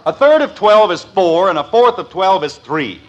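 A man's voice: high voice, very animated, normal speed, dull audio. An actor playing a middle-aged, fussy, sarcastic father losing his patience in a vintage 1950s radio comedy. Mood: exasperated. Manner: exasperated, emphatic. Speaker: lead actor in a radio sitcom. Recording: vintage radio broadcast recording.

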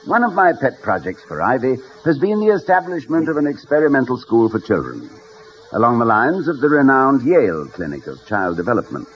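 An actor playing a genial, tactful college president who speaks politely and carefully in a vintage radio comedy. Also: male voice, mid-range voice, big pitch swings, natural voice. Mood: tactful, earnest. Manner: polite, measured, hesitant, wordy. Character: genial, courtly college president, tactful and gently whimsical husband. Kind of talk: 1940s-50s radio comedy acting. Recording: muffled, hissy vintage radio recording.

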